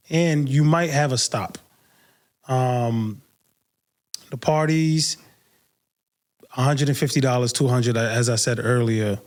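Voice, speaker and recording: Slow-paced New York man, casual podcast talk. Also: mid-range voice. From Brooklyn, New York, United States. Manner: unfiltered, casual swearing and slang. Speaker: podcast host.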